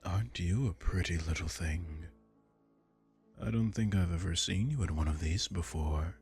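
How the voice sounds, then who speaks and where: Man voicing a deep, suave vampire lord. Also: darkish tone, soft background music. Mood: smooth menace. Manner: smooth, teasing, unhurried. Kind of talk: ASMR audio roleplay.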